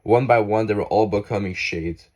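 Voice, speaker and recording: A man reading an essay aloud, halting now and then to restart words. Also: fairly low pitch, a little mellow.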